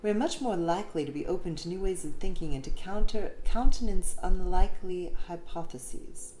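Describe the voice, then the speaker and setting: A woman narrates a scripted essay with lively, wide-ranging intonation and emphatic, deliberate phrasing. Her pitch sits a little low. The room adds noticeable echo, with faint noise behind her. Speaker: reader of an essay.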